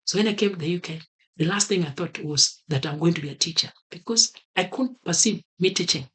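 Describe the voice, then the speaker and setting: A man's voice: high-pitched, a little fast, bright, forceful tone. A man from Kenya with a Kenyan accent, talking earnestly to camera and repeating his key advice for emphasis. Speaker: vlogger. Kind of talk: motivational talk to camera.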